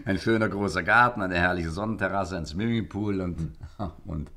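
A man playing a suave, cheerful, boastful gentleman gangster in a 1950s German radio play, savouring his words with self-satisfaction. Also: deep voice, moderate pace. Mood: boastful, dreamy.

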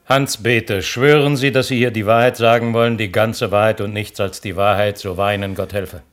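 An actor playing a courteous, formal hearing chairman in a German radio play, speaking solemnly and deliberately. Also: fairly low pitch, steady tone, natural voice.

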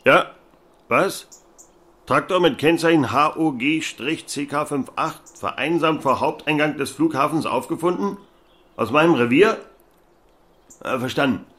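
An actor playing a gruff, officious small-town policeman in an audio drama, laconic and bossy. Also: fairly high pitch, animated, measured pace, long pauses, a bit bright.

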